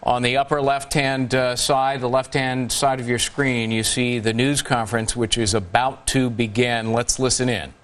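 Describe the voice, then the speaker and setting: A man's voice: medium pitch, fairly even intonation, moderate pace, hardly any pauses, fairly bright tone. A man anchoring live breaking news, talking the viewer through the coverage.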